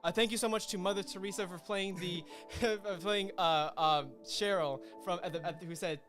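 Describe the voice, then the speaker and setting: A man hosting a casual livestream, giving effusive, halting thanks with repeated phrases. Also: sits high, steady tone, over music. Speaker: co-creator and host of a release stream.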